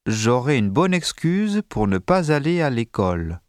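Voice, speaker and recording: A man reading a school dictation text slowly and deliberately, speaking the punctuation marks aloud. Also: medium pitch, sing-song, dry recording, clean studio sound. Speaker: dictation reader.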